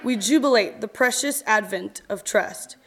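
Female reader, fairly high voice, very slow and measured, reciting scripture and verse solemnly with expressive pauses. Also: slight background noise. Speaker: reader at a church service. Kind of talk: solemn reading of scripture and poetry.

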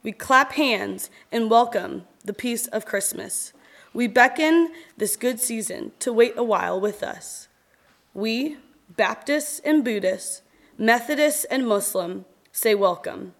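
A woman giving a solemn, expressive reading of scripture and poetry in a church service, with measured phrasing and deliberate pauses. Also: a little high, creaky.

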